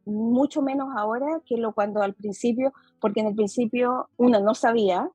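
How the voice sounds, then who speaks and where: Woman, mixed Chilean accent, fairly high, husky voice, dry sound, podcast host, chatty with fillers. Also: normal speed, no room sound, soft background music, low-quality recording. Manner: chatty, warm laughs, many fillers. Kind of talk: casual chat over video call. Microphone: video call.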